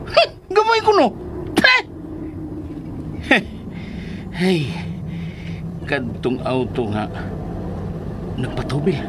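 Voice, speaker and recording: Kontrabidang negosyante, sobrang pabago-bago ang tono. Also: male voice, high voice, heavily acted. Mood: angry, suspicious. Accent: Cebuano. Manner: muttering to himself, swinging between growls and exclamations. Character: scheming, jealous villain businessman, hot-tempered and menacing. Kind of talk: Philippine radio drama acting. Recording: very noisy, vehicle sound behind.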